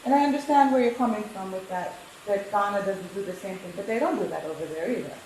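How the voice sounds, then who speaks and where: A woman's voice: mid-range voice, fast, a little mellow, reverberant, a little hiss or hum, poor audio. A woman with a New York and Jamaican accent, chatting opinionatedly and laughing easily while she cooks in a rental kitchen. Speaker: cooking competitor. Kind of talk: casual chat while cooking.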